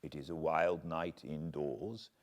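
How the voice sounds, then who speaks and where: A middle-aged English man narrating a classic novel in a measured, expressive reading. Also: extremely low pitch. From Britain. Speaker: audiobook narrator. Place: cozy room by a fireplace.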